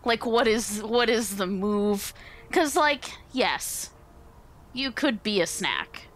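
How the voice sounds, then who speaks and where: A woman's voice: medium pitch, expressive, slow, fairly bright tone. A woman chatting and improvising casually as a guest player in a tabletop game.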